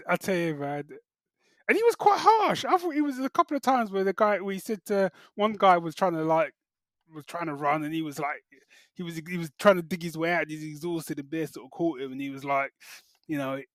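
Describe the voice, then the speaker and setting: A man's voice: high voice, animated, moderate pace, no echo. A man co-hosting a podcast in casual, enthusiastic, colloquial talk. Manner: colloquial, enthusiastic, acts out quotes, often ends phrases with "man". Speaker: podcast co-host. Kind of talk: casual chat, reviewing television.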